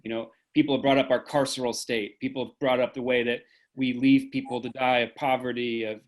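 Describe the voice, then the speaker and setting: A man moderating a group discussion over a video call. He speaks in a measured, earnest way, weighing his words as he draws out meaning. His voice sits at an ordinary middle pitch. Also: little pitch movement.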